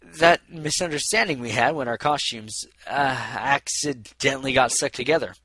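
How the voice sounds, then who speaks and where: A man voicing a boastful, smooth-talking male pony, a self-important musician and inventor, in a storybook reading. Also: mid-range voice, normal speed, sharp tone, no room sound. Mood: blustering.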